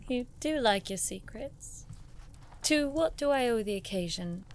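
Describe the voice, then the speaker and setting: A woman's voice: on the high side, lively intonation, unhurried, slight background noise. An actress playing a clever, defiant young noblewoman in an audio drama, with a sardonic, formal turn of phrase. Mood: wry, defensive. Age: young adult.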